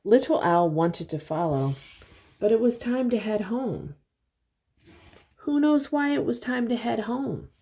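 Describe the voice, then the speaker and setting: An older woman reading a picture book aloud to young children, pausing to explain things and ask simple questions. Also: on the high side, breathy edge. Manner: expressive, simple explanations and questions for little listeners.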